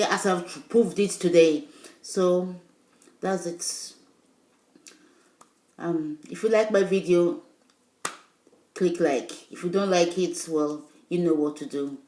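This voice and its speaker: Woman vlogger, non-native English, lowish voice, insistent and rambling, echoey room. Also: darkish tone. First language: not English. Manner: emphatic and insistent, loose rambling asides. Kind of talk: casual video talk to viewers.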